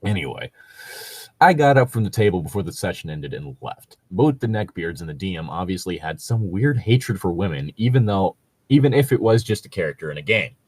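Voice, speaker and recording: Low husky dude reading gaming posts, wry and sweary, really lively delivery, chatty. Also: male voice, a little fast, no room sound. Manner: profane, wry, joking. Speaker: co-host reading online tabletop gaming horror stories. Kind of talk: casual banter mixed with reading posts aloud.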